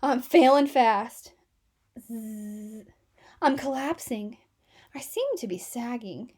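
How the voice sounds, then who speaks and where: A woman's voice: a little high, animated, slow, darkish tone, breathy edge, full character voice. A woman voicing weak, collapsing uppercase letters of the alphabet in a storybook, with a faltering, dramatic manner. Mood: weak, failing.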